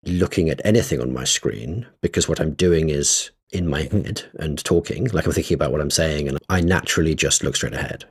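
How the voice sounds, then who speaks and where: A man's voice: a bass voice, a little fast, hardly any pauses, breathy edge. A man co-hosting a casual podcast, thinking aloud with hesitant restarts. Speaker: podcast co-host. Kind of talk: casual chat.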